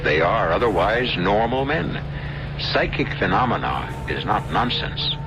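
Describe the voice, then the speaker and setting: A deep-voiced man hosts a mystery program with deliberate, dramatic, formal narration. His delivery is highly inflected, and loud music plays behind the lo-fi sound. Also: sing-song. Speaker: radio drama host and narrator. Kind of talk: old-time radio mystery scripted narration. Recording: muffled radio playback, loud music behind.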